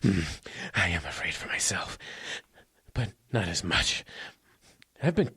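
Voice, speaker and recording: A desperate man freezing in the cold, very husky and gravelly. He speaks slowly and haltingly, stammering anxiously in horror audio drama style. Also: low voice, big pitch swings, bright, forceful tone, no echo, heavily acted. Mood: numb, fading.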